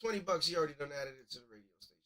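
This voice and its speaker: Schnell redender Mann, lockerer DJ-Plauderton. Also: male voice, a little high, animated, rapid-fire, pushed and bright, faint music behind. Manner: slangy, rambling, conversational. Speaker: DJ hosting a music review livestream. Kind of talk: casual live commentary on songs.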